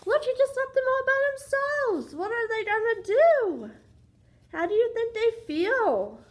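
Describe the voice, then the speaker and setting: Slow, very high-pitched, animated woman reading aloud. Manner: sing-song, prompting listeners with questions. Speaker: children's read-aloud teacher. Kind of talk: interactive picture-book read-aloud for young children.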